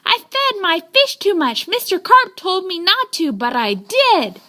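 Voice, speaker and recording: A woman's voice: very high voice, wildly swooping pitch, measured pace, bright, forceful tone, pitched above the performer's own voice, exaggerated voice. A woman voicing an earnest, anxious child in a storybook, exclaiming and pleading. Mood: panicked. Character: anxious child pleading with a runaway-growing pet fish.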